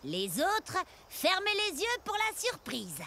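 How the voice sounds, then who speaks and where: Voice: very high-pitched, huge pitch swings, takes it slowly, creaky, heavily acted. A performer voicing a helpful, handy young pirate crew friend in a children's cartoon. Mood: cheerful. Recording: loud music behind the voice.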